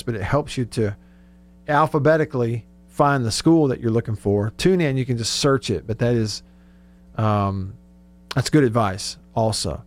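A man hosting a casual sports radio show, conversational and thinking aloud as he goes. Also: medium pitch.

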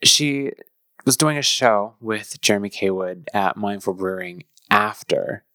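A man's voice: lowish voice, measured pace, sharp tone, no echo, clean studio sound. A man hosting a casual interview, asking rambling questions in a playful way. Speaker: interviewer, host.

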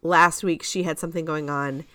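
A woman's voice: low voice, fairly even intonation, moderate pace, sharp tone, dry recording, clean studio sound. A woman co-hosting a podcast in casual conversation about musical theatre. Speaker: podcast co-host.

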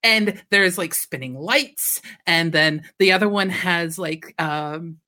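A woman in a casual chat, telling an anecdote in an animated, amused way. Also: on the low side, slow.

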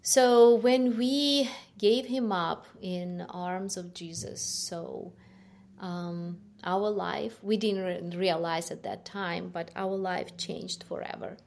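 Middle-aged Ukrainian-accented woman, slow and soft-spoken interview guest sharing her story. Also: mid-range voice, steady tone, gravelly, slight background noise. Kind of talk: personal testimony interview.

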